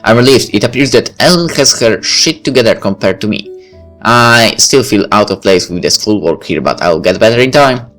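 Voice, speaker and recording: A man's voice: on the low side, expressive, normal speed, a bit bright, soft background music. A man reading a visual novel aloud as a let's play narrator, with casual asides.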